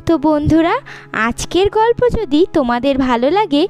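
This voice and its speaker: A woman's voice: high voice, animated, moderate pace, a little mellow, soft background music. A woman narrating a scripted children's story as the channel's storyteller.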